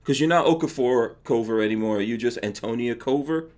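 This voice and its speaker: Joking New York host, mid-pitched man. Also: fairly quick, darkish tone. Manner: laughs often, loose and joking. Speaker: podcast host. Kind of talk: casual livestream chat.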